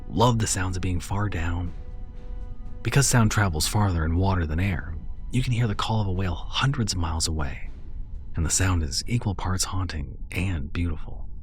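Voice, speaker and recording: A man narrating a first-person horror story in a measured, storytelling way. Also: low-pitched. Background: soft background music.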